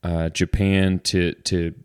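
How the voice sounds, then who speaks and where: A man's voice: low-pitched, takes it slowly, clean tone, no echo, clean studio sound. A man hosting a podcast in casual, rambling chat, a little congested. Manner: relaxed, rambling, frequent restarts. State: slightly congested. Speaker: podcast host.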